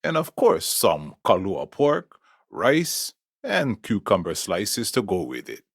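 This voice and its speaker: A man's voice: on the low side, wildly swooping pitch, slow pace, husky, dry recording, clean studio sound, heavily acted. A man voicing a laid-back, gracious Hawaiian fusion restaurant host in a video game, with an easygoing, hospitable manner. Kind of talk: scripted video game character dialogue.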